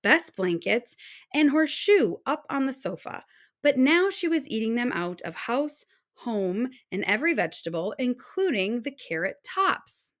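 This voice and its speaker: A woman reading a children's storybook aloud, narrating in an expressive, deliberate manner. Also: fairly high pitch. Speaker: storybook reader.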